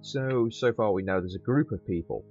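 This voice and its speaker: Low-voiced man doing game commentary. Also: moderate pace, a little mellow, muffled recording, faint music behind. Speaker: host of a gaming channel. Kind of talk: casual game playthrough commentary.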